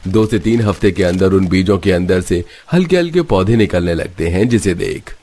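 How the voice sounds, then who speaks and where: A man's voice: low voice, expressive, normal speed, mellow. A man reading scripted narration for a cartoon story.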